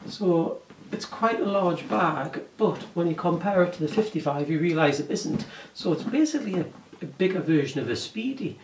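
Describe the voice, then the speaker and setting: Hoarse man with an English accent, forties, rambling casual review, roomy echo, faint background hiss. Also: fairly high pitch, expressive, moderate pace, hardly any pauses, far from the mic. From England. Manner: rambling, self-correcting, chatty. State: sore throat, losing his voice. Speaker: vlogger reviewing bags. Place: room indoors, curtain backdrop.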